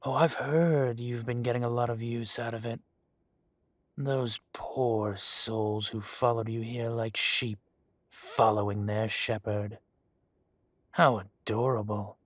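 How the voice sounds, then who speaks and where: A man voicing a menacing adult male dragon gang boss in an audio roleplay drama. He speaks softly and condescendingly, with deliberate, slow threats and hushed whispers. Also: medium pitch, fairly even intonation, no room sound. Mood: silky menace. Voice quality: soft-spoken, silky and condescending, drops to whispers.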